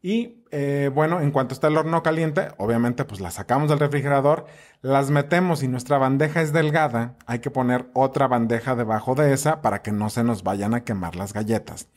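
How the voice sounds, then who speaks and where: A man's voice: mid-range voice, fairly quick. Chatty Mexican man narrating a recipe. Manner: chatty, informal. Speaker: cooking video host.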